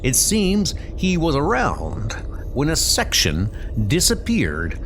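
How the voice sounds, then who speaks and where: A man's voice: on the high side, wildly swooping pitch, takes it slowly, a bit bright, a little hiss or hum, heavily acted. A man voicing a proud, matter-of-fact undersea king superhero in a comic book dub, serious and dry in delivery. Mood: dry reporting. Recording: music bed under the voice.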